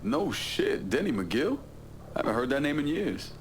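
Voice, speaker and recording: An actor playing a tough young man with a relaxed, plain-spoken, streetwise manner in a video game cutscene. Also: medium pitch, normal speed, slight background noise, lightly acted. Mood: amused. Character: laid-back, tough young male outsider, loyal friend.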